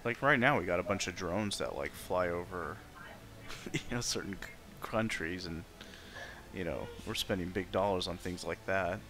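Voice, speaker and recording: Dude, kinda low voice but sharp n bright, opinionated, just chatting and debating. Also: male voice, normal speed. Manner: opinionated, conversational. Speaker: participant in a group discussion. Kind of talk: casual debate, chat.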